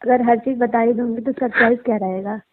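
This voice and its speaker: A woman's voice: on the high side, steady tone, a little fast, noisy background. A young woman in a casual phone conversation, giving terse, guarded replies. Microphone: phone line. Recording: tinny, muffled phone line.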